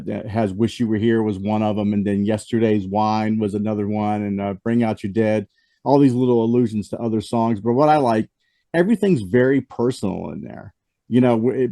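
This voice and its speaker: Guy hosting a music chat, voice going after the flu, kinda gravelly and mellow, rambles and restarts a lot. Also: lowish voice, dry recording. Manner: rambling, with frequent restarts and fillers. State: losing his voice after the flu. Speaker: host. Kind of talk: casual music review chat.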